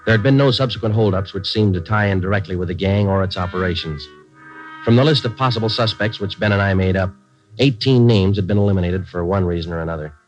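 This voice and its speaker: An American actor playing a stoic police detective sergeant in a 1940s radio crime drama, speaking in terse, matter-of-fact, deadpan phrases. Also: male voice, deep voice, quick pace, soft background music, lightly acted. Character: stoic, clipped police detective sergeant, narrating his cases. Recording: muffled old 1940s radio recording.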